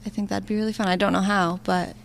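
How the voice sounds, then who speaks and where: A woman's voice: mid-range voice, little pitch movement, fast talker, gravelly, a little hiss or hum. Young female student interviewee, mid-range voice, informal conversational answers. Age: young adult. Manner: informal, frequent "like" and "kind of". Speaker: student interviewee, live sound operator.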